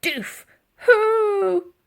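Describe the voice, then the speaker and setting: A woman's voice: extremely high pitch, drawn-out words, gravelly, pitched above the performer's own voice, exaggerated voice. A woman voicing a big, friendly female woolly mammoth from a storybook with wordless hooting cries. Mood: straining, struggling.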